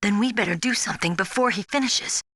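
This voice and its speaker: Video game co-lead: young female rookie soldier, played by a woman, plucky and earnest, bright medium-pitched voice, expressive delivery. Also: fast talker, no echo, close to an everyday voice. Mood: concerned, alert. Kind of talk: dramatic video game voice acting.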